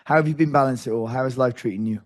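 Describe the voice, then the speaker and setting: Hoarse man hosting a chatty interview. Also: mid-range voice, rapid-fire. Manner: chatty, rambling, full of restarts. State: losing his voice. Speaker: host. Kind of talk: casual interview.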